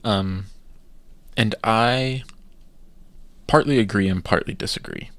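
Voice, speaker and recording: A man co-hosting a podcast in casual chat, giving opinions with halting restarts. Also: lowish voice, fairly bright tone, no room sound. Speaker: podcast co-host.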